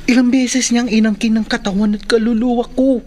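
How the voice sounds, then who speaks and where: A woman's voice: fairly high pitch, fairly even intonation, fairly quick, a little mellow, natural voice. A woman playing a brave, knowing boy who guides others in a horror radio drama, earnest and assured. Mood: grave. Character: brave, knowing youth Mark, protective guide against spirits.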